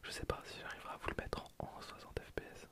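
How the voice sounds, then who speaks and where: A person whispering casual, slangy ASMR commentary over a video game, in breathy, hesitant phrases that trail off. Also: fast talker. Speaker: host of an ASMR gameplay channel.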